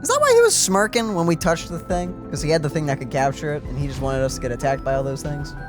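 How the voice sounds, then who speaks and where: Lively, offhand gaming co-commentator. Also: mid-range voice, fast. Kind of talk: casual chat. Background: clear game music.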